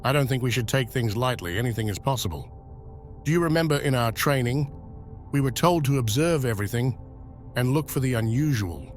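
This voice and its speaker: A careful, watchful scout in a motion comic, played by a man. His voice sits at an average pitch and he talks in a wary, measured way. Faint music runs underneath. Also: lightly acted. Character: cautious, observant young male scout. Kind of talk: motion comic dramatic acting.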